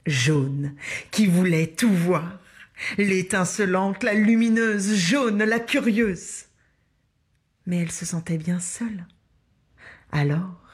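A woman tells a children's story very slowly in a low, breathy voice. She keeps it hushed and close, and she speaks straight to the child who is listening. Her words are soft and drawn out. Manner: hushed, intimate, addresses the child listener directly.

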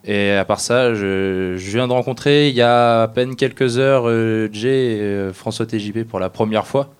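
A man introducing himself as a guest at a round table, speaking in hesitant, halting phrases. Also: on the low side, clean tone. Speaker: guest, amateur audio fiction creator.

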